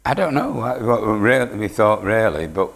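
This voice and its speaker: A man's voice: fairly low pitch, sing-song, normal speed, gravelly. An older man, giving an unscripted live interview. He is plain-spoken and earnest, with a dry wit. The sound carries the long reverb of a hall. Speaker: community radio station manager, interview guest. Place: radio studio stage in an indoor market.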